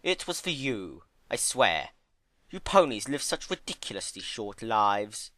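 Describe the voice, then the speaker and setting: A man's voice: lowish voice, very animated, a little slow, pushed and bright, no echo, heavily acted. A man voicing a sly, sardonic male chaos creature in a silky, sneering, theatrical manner for a story reading. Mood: defensive, exasperated.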